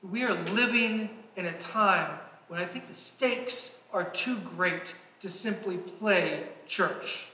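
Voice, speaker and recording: A middle-aged man preaches from the pulpit with a high, bright, forceful voice. He is earnest and swells into emphatic calls to his listeners. The room adds a roomy sanctuary echo. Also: slow pace, few pauses. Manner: earnest, rising to emphatic exhortation, gesturing. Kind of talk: sermon. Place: large church sanctuary, at a pulpit. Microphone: pulpit mic. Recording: echoey sanctuary reverb.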